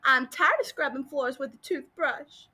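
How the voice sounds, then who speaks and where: A woman's voice: sits high, unhurried, pushed and bright. A woman voicing a cute, stubborn little orphan girl with a small, pleading, childlike delivery.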